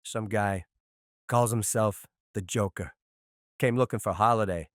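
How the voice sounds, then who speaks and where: An actor playing a blustering, irritable mob boss with gruff, indignant street slang in a dramatized crime comic. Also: male voice, fairly low pitch, measured pace, dry recording, studio quality, close to an everyday voice. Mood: exasperated.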